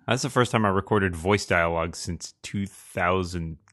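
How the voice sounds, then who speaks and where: A man speaking casually as a podcast panelist, with a wry and halting way of talking. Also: deep voice, a bit bright, no echo, studio quality. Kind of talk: casual group discussion.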